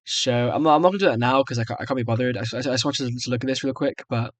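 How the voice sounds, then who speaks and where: A young adult man with a medium-pitched voice talks casually at his computer in a small, dry-sounding room. He rambles and hesitates, muttering his reactions to himself as he goes. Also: racing, no room sound. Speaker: video creator. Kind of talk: casual screen-recorded software try-out commentary.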